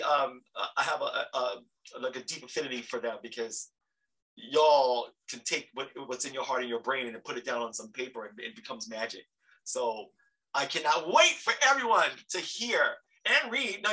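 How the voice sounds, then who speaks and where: A man's voice: fairly high pitch, pushed and bright, far from the mic, low-quality recording. A man hosting a casual, chatty interview, effusive and emphatic, often repeating his words, recorded a little off-mic. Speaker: talk show host, actor.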